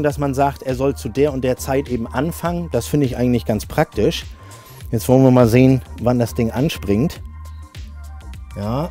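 A man's voice: medium pitch, darkish tone. A middle-aged man, chatting informally and jokingly as he thinks aloud through a hands-on product test. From northern Germany. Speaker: camping video host. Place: camping awning, outdoors and inside the tent.

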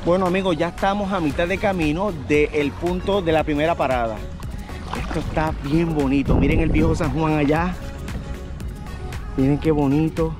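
Entusiasta vlogger puertorriqueño en kayak. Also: male voice, fairly high pitch, moderate pace, music in the background. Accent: Puerto Rican Spanish. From Puerto Rico. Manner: excited, cheering, speaks to viewers. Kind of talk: upbeat travel vlog narration. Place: outdoors on a kayak on open bay water. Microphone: action camera on the kayak, sometimes held at arm's length.

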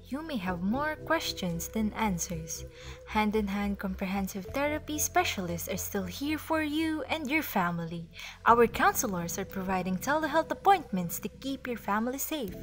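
Woman reading a radio advert, music behind. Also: mid-range voice. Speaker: advertisement announcer. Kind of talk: scripted advertisement narration.

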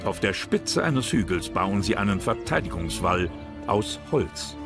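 A man's voice: on the low side, big pitch swings, a little slow. A man reading scripted historical narration for a documentary. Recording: music behind the voice.

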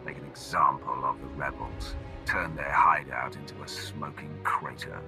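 An actor playing a ruthless dark emperor in a video game, speaking in curt, imperious commands. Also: male voice, rumbling low, fairly even intonation, moderate pace, gravelly, over music. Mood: stern. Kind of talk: cinematic video game acting.

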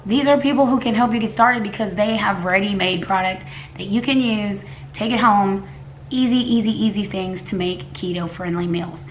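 Hoarse woman in her forties, lowish voice, chatty home vlogger at her table, faint hiss behind. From Texas, USA. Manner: chatty and rambling, often restarts, coughs and clears throat. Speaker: cooking vlogger. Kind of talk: casual vlog talk to camera. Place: living room at home, indoors. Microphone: camera a few feet away at a table.

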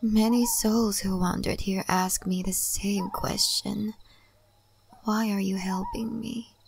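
A woman playing a calm, wise, mysterious guide of a spirit forest in an ASMR roleplay, speaking gently and softly, often in a breathy whisper, with pauses. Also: medium pitch, slight background noise. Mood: calm, reflective. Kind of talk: soft-spoken, half-whispered ASMR roleplay.

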